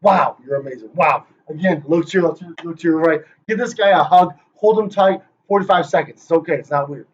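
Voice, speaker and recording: Hyped joking man, high voice. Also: a little fast, few pauses, husky. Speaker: presenter. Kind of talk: rehearsing a playful conference talk. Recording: dull lo-fi recording.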